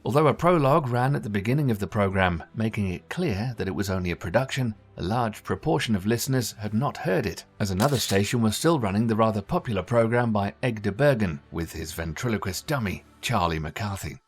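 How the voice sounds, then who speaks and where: Guy with a fairly low voice doing a formal measured documentary voiceover, bit of music under it. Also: lively intonation, breathy edge. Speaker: narrator. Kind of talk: scripted documentary narration.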